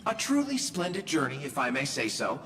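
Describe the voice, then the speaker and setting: A grandiose game character full of formal flourish. His voice is high, bright and swooping, ringing with long reverb. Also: sing-song, brisk, a little hiss or hum. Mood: grandly admiring. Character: theatrical, flowery, smooth-talking male admirer. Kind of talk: video game voice acting.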